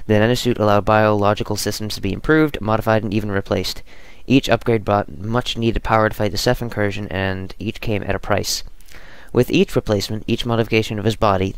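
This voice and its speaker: A man hosting a gaming video, reading scripted narration or casually commenting, with a creaky, smooth voice. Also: on the low side, no room sound.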